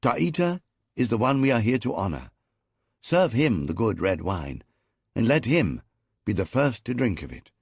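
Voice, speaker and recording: A man's voice: on the low side, very animated, moderate pace, no echo, studio quality, pitched above the performer's own voice, heavily acted. A man voicing a proud, commanding pharaoh. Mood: regal. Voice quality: strong, clear carrying voice. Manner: grand, ceremonious proclamations. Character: commanding male Egyptian pharaoh, regal ruler.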